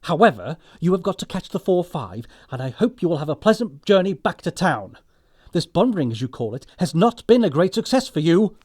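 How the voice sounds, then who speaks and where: A man playing a stern, indignant gentleman with a pompous, emphatic manner in an audio production of a classic comedy. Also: fairly high pitch, very animated, brisk. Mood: indignant, dry. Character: stern, indignant gentleman scolding a frivolous friend.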